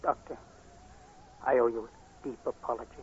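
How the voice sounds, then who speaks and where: Casting: male actor as anguished young patient, intense radio-play delivery, medium pitch, muffled vintage sound. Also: steady tone, moderate pace, natural voice. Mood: contrite. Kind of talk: 1940s radio acting, first-person narration and dialogue. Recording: noisy, muffled 1940s radio recording.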